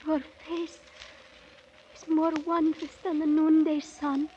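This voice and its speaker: A woman's voice: high voice, level tone, slow, warm tone. An actress playing a humble, worshipful young village girl with formal, submissive speech in a 1950s radio drama. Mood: timid, reverent. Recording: dull, faintly noisy 1950s radio recording.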